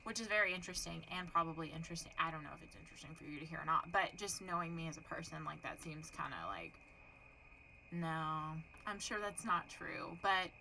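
A woman's voice: low voice, brisk, vocal fry, bright, forceful tone, slight background noise, poor audio. A young woman with a Texan accent co-hosting a podcast, chatting frankly, swearing casually and laughing often. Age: young adult. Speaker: podcast co-host. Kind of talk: casual chat.

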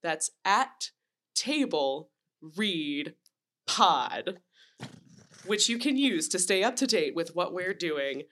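A woman co-hosting a podcast in casual, joking chat, playful and quick to laugh. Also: fairly low pitch, very animated, a bit bright. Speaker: podcast co-host.

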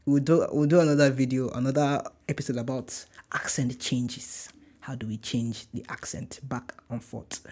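A man's voice: medium pitch, steady tone, moderate pace, darkish tone, slightly rough. A young man from Nigeria with a light Nigerian accent, hosting a casual solo podcast in an upbeat, chatty, rambling way. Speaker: podcast host.

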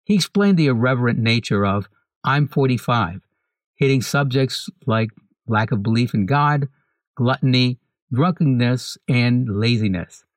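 A man reads a scripted podcast segment in a measured, even way. His voice sits at an ordinary middle pitch. It is recorded close and dry, with no room sound. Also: no echo. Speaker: podcast host.

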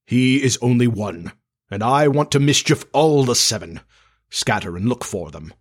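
A man voicing a brooding, vengeful pirate captain in a storybook reading, slow and dramatic in manner. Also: mid-range voice, animated, husky. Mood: dark, commanding. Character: menacing, brooding pirate captain with a haunted dread.

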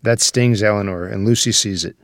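A man's voice: fairly low pitch, fairly quick, no room sound. Dry-recorded male screenplay narrator. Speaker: stage directions reader.

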